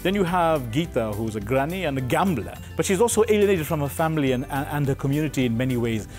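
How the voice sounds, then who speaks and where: A man's voice: a little high, expressive, fast talker, a little mellow, soft background music. A middle-aged South African man speaking articulately and earnestly as a playwright and director in an interview. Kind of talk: interview answers and explaining. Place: theatre auditorium and stage. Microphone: lapel mic.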